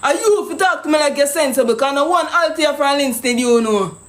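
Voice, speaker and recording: Jamaican man, very high voice, pushy accusing prank caller, echoey room. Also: expressive, fast talker, a bit bright. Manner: pushy, accusing, patois-heavy. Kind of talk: prank phone call.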